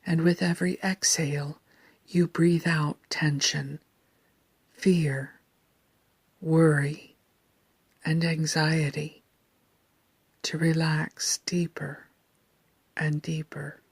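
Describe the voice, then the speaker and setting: A woman leads a guided meditation in a low, hushed voice full of breath. She speaks very slowly and calmly, placing each phrase with care. The sound is close and dry, with a soothing, deliberate delivery. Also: no room sound. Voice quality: soft, breathy, near-whispered. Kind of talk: slow scripted guided meditation narration.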